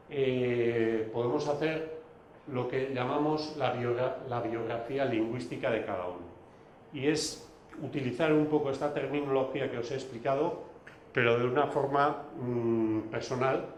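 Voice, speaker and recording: A man whose first language is Basque, giving a recorded video lecture, pausing often with fillers and restarts. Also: medium pitch, echoey room, a little hiss or hum. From Basque Country. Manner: hesitant, many fillers and restarts.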